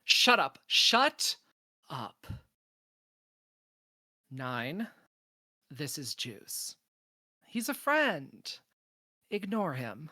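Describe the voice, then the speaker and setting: A woman playing a wise, protective space probe in a podcast table read, speaking in a measured, firm, reassuring manner. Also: low voice, very animated, bright, forceful tone, dry recording, clean studio sound, full character voice. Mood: exasperated.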